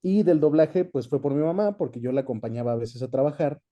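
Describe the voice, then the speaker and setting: A man's voice: fairly high pitch, a little fast, dark tone. A young Mexican man chatting easily as an interview guest, reminiscing about his work in a casual way. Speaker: guest, voice actor.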